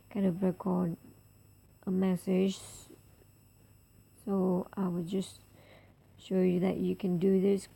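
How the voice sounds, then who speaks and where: Mellow, slightly creaky woman giving a tutorial. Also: mid-range voice. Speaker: tutorial host. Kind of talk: step-by-step app tutorial narration.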